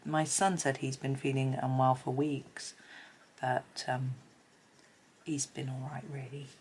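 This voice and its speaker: Stuffy-nosed british lady, low voice, rambly chat with lots of ums while she colours. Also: level tone, slow pace, darkish tone, a little hiss or hum. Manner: chatty, rambling, many ums. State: stuffy, blocked nose. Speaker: colouring channel host.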